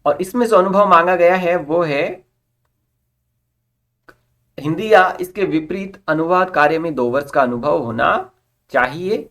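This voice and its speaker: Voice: mid-range voice, measured pace, pauses often, a bit bright. A presenter reading out an official recruitment notice in an instructional way, restating formal terms in simpler words. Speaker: presenter of job recruitment updates. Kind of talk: informational explainer reading out a notice with plain explanations.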